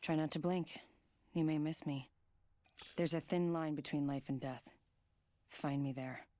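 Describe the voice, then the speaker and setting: A woman voicing a cool, stealthy, menacing fighter in a video game, in measured, taunting lines. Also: low voice, fairly even intonation, dark tone. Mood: calm menacing.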